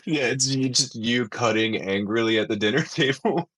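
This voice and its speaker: A man's voice: mid-range voice, a bit bright, slight background noise. A man co-hosting a comedy podcast, giggling and spinning silly bits in crude banter. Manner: giggly, quick riffing, doing silly bits. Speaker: podcast co-host.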